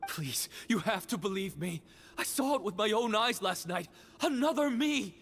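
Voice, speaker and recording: A man's voice: sits high, expressive, normal speed, gravelly, a bit bright, slight background noise, heavily acted. An actor playing a frantic male inmate in a video game, pleading insistently to be believed. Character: frantic male prison inmate insisting he saw his double.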